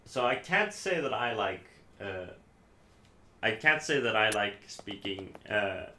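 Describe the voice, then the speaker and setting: A man from Iceland with an Icelandic accent, a native Icelandic speaker, chatting casually as a game streamer in a halting, rambling, self-correcting way. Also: lowish voice, expressive, bright, forceful tone. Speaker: live streamer playing games. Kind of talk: casual chat while gaming. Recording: echoey room, faint background noise.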